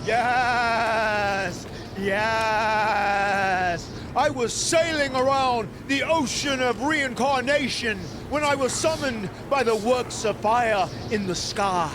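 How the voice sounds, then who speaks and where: A man's voice: very high-pitched, slow, noisy background. An actor playing an eccentric, grandiose mystical boatman guide in a video game, delivering theatrical proclamations. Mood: exultant. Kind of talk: video game cutscene acting.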